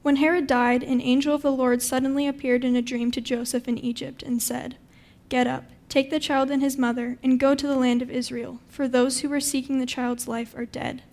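A woman's voice: high voice, level tone, brisk, darkish tone. A woman reading a Bible passage aloud from a script as narration. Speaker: scripture reader.

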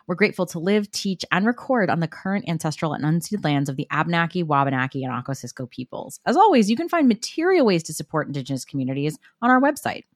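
A woman's voice: low voice, sing-song, quick pace, few pauses, dry recording, clean studio sound. A woman with a New Jersey accent co-hosting a podcast, chatting in a wry, self-deprecating way. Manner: wry, self-deprecating, laughs at own jokes. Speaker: podcast co-host. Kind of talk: casual chat.

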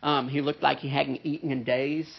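A man's voice: mid-range voice, little pitch movement, normal speed, creaky, slightly rough. A man preaching a sermon in a conversational way, with a nasal voice and many "uh" fillers and "right?" asides. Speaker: pastor. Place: church service with a pianist present. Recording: dull, muffled sermon recording.

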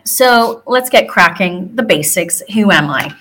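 Bright-voiced woman with mixed American-British accent. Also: fairly low pitch, measured pace. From Hong Kong. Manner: relaxed, fillers like um between phrases. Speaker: podcast host. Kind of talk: scripted podcast intro then casual storytelling.

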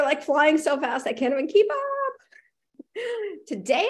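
A middle-aged woman hosting a live show, upbeat and encouraging, reading viewers' messages aloud, her voice slightly raspy. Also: a little high. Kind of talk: live-streamed talk to viewers.

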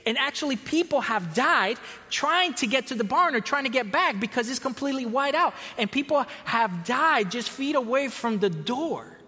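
Costa Rica-born male preacher, high voice, repeats his starts, big echoing hall. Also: lively intonation, moderate pace, few pauses, sharp tone. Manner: storytelling, repeats words when starting sentences. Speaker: pastor. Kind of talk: sermon.

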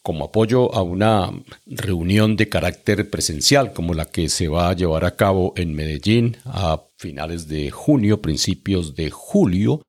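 A man interviewing a guest as host, asking long, formally phrased questions with hesitant pauses. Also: low-pitched, lively intonation, darkish tone.

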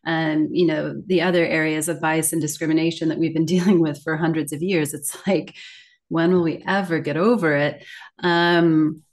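Middle-aged female interview guest, somewhat low-pitched, articulate, reflective and heartfelt in emphasis. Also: fairly even intonation, normal speed. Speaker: guest, communication coach and author.

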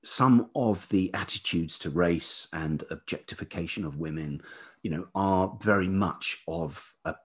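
A man with a velvety voice talking reflectively as an interview guest, thoughtful and halting, often pausing mid-phrase. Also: deep voice, breathy edge. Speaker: podcast guest, writer and life writing teacher.

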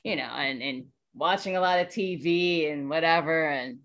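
Low-voiced woman, thinking aloud. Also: moderate pace, fairly bright tone. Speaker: voice actor, interview guest. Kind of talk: casual interview.

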